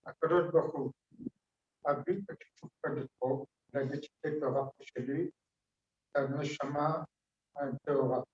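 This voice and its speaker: A man giving a funeral eulogy, speaking in fragmented, hesitant phrases that he sometimes draws out slowly. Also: fairly high pitch, big pitch swings, darkish tone. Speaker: family member giving a eulogy. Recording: echoey hall, distant dull mic.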